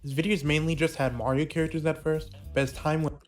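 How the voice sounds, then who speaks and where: A man's voice: on the high side, little pitch movement, fast. A man narrating a video essay in a scripted documentary style.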